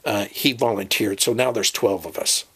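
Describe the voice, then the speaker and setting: Man playing a hesitant, husky-voiced adventurer. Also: lowish voice, normal speed, fairly bright tone. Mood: explaining. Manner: hesitant, conversational. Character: earnest, agreeable man documenting his great adventure. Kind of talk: amateur audio drama dialogue.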